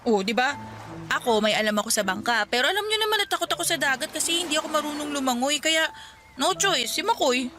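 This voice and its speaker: Sonia, a young woman who talks a lot and takes charge with a playful edge. Her voice sits a little high, with music running under the dialogue. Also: lively intonation, fast, sharp tone. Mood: scheming. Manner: talkative, bossy, playful. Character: Sonia, chatty bossy young woman friend. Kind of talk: radio drama acting.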